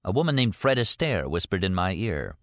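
A man's voice: sits low, sing-song, brisk, no echo. A man reading an audiobook plays a sceptical male ex-CIA detective with a dry, sardonic, reasoning manner.

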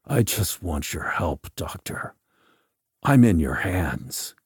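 Tormented lovesick man, acted by a male narrator: low, slow, hesitant, plaintive, dry recording. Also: expressive, vocal fry, warm tone, breathy edge, dry recording, heavily acted. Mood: plaintive, resigned. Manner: hesitant, drowsy. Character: drowsy, tormented, lovesick man pleading for help. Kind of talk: audiobook character dialogue.

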